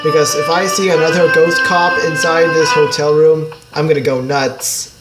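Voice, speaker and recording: A performer voicing a plucky, sassy, exasperated female fox mechanic in an amateur comic dub, with loud game music behind. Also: medium pitch, fairly even intonation, normal speed, natural voice, rough recording. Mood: weary, annoyed. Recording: home recording under loud music. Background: loud video game music.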